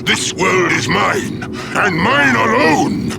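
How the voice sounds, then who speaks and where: An actor playing a menacing, megalomaniac villain, shouting grandly and triumphantly in a video game. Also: male voice, high voice, expressive, slow pace, creaky, heavily acted. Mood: triumphant menace. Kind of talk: video game cutscene acting. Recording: very loud game music underneath.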